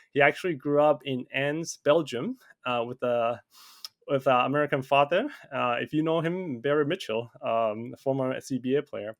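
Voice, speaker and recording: Gravelly-voiced male podcast host. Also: medium pitch. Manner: upbeat, many fillers and restarts. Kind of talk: interview podcast.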